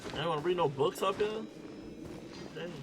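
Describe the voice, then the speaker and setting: A man's voice: high voice, brisk. A man giving casual, slangy playthrough commentary as a gaming streamer, reacting and reading bits aloud. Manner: informal, slangy. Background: game music.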